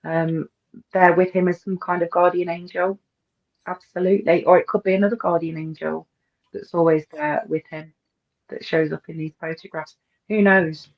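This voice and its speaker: A woman's voice: fairly low pitch, steady tone, normal speed, dull audio. A woman, a guest author chatting in an interview, enthusiastic and hesitant with many ums and repeats. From English East Midlands. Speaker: guest author and paranormal researcher. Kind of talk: casual interview chat.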